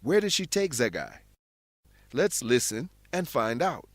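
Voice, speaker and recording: A man's voice: mid-range voice, big pitch swings, a little slow, no echo, clean studio sound. A man hosting an educational radio drama, reading scripted narration and explaining vocabulary in an instructional manner. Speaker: host and English teacher.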